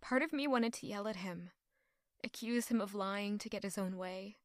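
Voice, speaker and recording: A woman playing a conflicted, loyal young woman in love in an audio drama, with emotional, deliberate delivery. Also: medium pitch, no room sound, clean studio sound, lightly acted. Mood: pensive, troubled. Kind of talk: dramatic audio drama acting with first-person narration.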